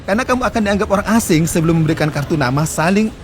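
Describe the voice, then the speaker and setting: Fast-talking man in his forties, high-pitched. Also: expressive, slight background noise. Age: 40s. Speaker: interview guest. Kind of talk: explaining customs in casual conversation.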